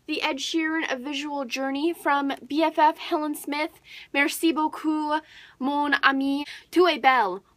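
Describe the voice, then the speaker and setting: Young woman, high-pitched, bright tone, casual book vlog chat, gushing, dramatic emphasis. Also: unhurried. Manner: excitable, gushing, dramatic emphasis, drops into whispery asides. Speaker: book vlogger.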